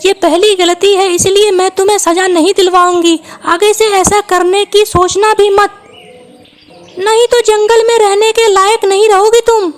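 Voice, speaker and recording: A woman's voice: extremely high pitch, flat delivery, moderate pace. A woman voicing a brave, clever, kind young female bird in a children's cartoon, speaking in a reassuring, decisive way. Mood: stern. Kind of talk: Hindi children's cartoon voice acting.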